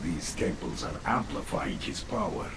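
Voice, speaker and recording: A man's voice: extremely low pitch, sing-song, a little slow, creaky, heavy background noise, full character voice. A man playing a grim infested officer in a video game, with a grave, wry, dramatic way of talking. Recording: echoey, game music behind.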